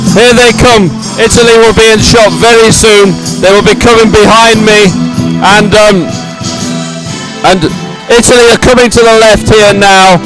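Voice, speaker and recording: A man's voice: high-pitched, poor audio. A middle-aged man giving live, ad-libbed sports commentary in an effusive, sentimental way, repeating phrases for emphasis. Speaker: event commentator. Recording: music playing behind the voice. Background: loud stadium music at a medal ceremony.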